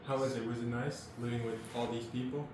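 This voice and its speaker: A man's voice: mid-range voice, fairly even intonation, fast talker. A man hosting a casual interview, prompting his guest with questions and laughing easily. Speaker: podcast host. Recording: echoey room, distant mic, background noise.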